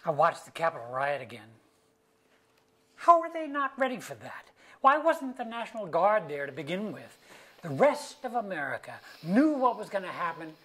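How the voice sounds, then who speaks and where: A male actor playing an anxious, wry, amorous husband of about sixty in a staged play reading, husky, with a halting, self-mocking delivery. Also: high voice, very animated, a bit bright, exaggerated voice. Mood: agitated.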